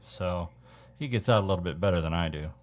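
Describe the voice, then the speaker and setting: A man with a very deep voice talks in an easygoing, conversational way. He sounds like a hobbyist explaining gear during a casual radio chat. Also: quick pace, very noisy.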